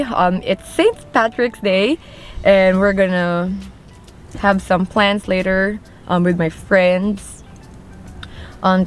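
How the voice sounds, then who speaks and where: A woman's voice: medium pitch, a little hiss or hum. A young woman chatting upbeat to her phone camera in a parked car, with many fillers. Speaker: vlogger. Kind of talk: casual vlog chat to camera. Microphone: phone held at arm's length.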